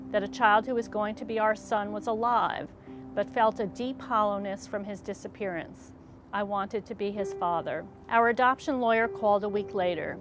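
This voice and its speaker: A woman reading a memoir aloud as scripted narration. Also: mid-range voice. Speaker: reader of a memoir. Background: clear music.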